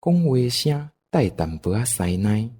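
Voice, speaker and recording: Dark, husky, animated Taiwanese male storyteller. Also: medium pitch, measured pace. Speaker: narrator. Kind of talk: scripted storytelling narration.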